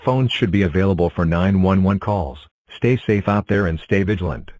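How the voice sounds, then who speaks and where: A man with a low-pitched voice serves as an emergency alert announcer. He reads scripted severe weather bulletins in a formal, measured and impersonal way. The sound is dry, with no room echo. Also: darkish tone.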